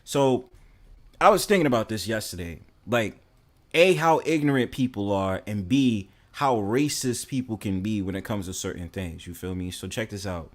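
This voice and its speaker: A man's voice: lowish voice, moderate pace. A young man with a New York accent, talking casually and bluntly to his stream, full of fillers and swearing. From New York City. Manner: rambling, heavy fillers, blunt and profane.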